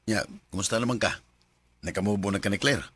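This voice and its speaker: Bright-voiced blunt young Filipino buddy, acted by a man. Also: on the low side, fast, slight background noise, natural voice. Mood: prodding. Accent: Filipino, Cebuano. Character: young male buddy, blunt, egging his friend on. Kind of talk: Bisaya radio drama acting.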